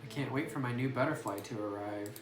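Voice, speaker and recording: A man's voice: medium pitch, fairly quick. A man casually commenting as he plays a game, musing with hesitant restarts. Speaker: game streamer. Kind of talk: let's play commentary. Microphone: phone mic away from speaker. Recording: roomy distant phone recording with music.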